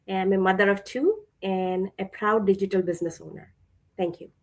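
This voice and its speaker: A woman's voice: mid-range voice, steady tone, moderate pace, a little mellow. Woman from India speaking hesitantly. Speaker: guest speaker. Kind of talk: casual online talk.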